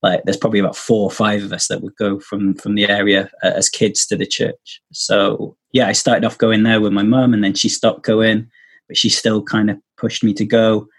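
A man's voice: fairly low pitch, level tone, normal speed, breathy edge. A man chatting casually as a podcast guest, rambling with lots of fillers and restarts, heard over a video call. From Wales. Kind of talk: casual testimony chat.

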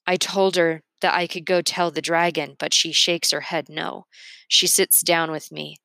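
A woman's voice: low-pitched, level tone, measured pace, vocal fry, bright, forceful tone, dry recording. A woman reading a storybook aloud expressively, narrating in the first person.

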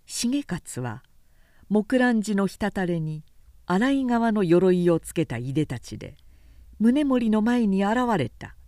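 A woman's voice: medium pitch, sing-song, slow pace, mellow, no room sound, studio quality. A woman narrator giving a theatrical, carefully phrased recitation of a literary war tale.

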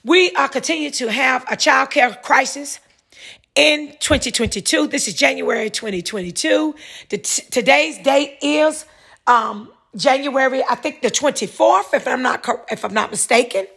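A woman's voice: sits high, moderate pace, vocal fry, a bit bright, breathy edge. A woman, hosting a solo podcast, reading a news article aloud and then rambling through casual commentary, repeating herself and correcting her words.

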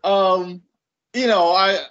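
A man's voice: high-pitched, fairly even intonation, takes it slowly, a bit bright, dull audio. A man in his late thirties chatting casually as a podcast guest. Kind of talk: casual chat, personal storytelling.

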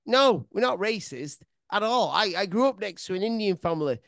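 A man's voice: sits high, lively intonation, moderate pace, vocal fry, a bit bright, no echo, clean studio sound. A man hosting a casual podcast chat, asking curious questions and often restarting them. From England. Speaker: podcast host.

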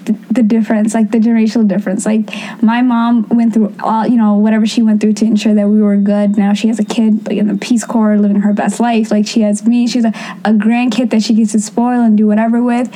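A woman co-hosting a casual podcast chat, talking in a lively way and quick to chime in. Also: medium pitch, low-quality recording. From Somali. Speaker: podcast co-host.

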